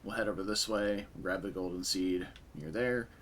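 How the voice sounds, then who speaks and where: A young man with a raspy voice from a concert the night before, casually commentating a game stream and chatting with viewers. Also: low voice, normal speed, slight background noise. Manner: relaxed, chatty, answers chat.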